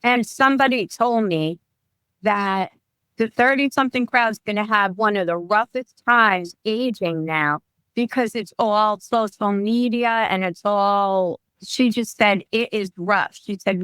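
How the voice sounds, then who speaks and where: Smooth-voiced woman wellness coach. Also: mid-range voice, measured pace, a bit bright, dry recording. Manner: chatty, restarts sentences often. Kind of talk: podcast interview. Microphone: remote call.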